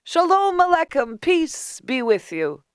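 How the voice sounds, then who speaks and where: Woman playing little beggar man, wily, coaxing, theatrical storybook character, wildly varied pitch, slow delivery. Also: huge pitch swings, no echo, studio quality. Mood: commanding. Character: wily, persuasive little male beggar promising miracles.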